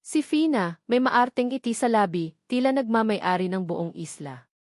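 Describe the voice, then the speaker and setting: A woman with a Filipino accent reading scripted romantic drama narration in a measured, emotive way. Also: fairly high pitch, expressive, darkish tone, no room sound, studio quality. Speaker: audiobook story narrator.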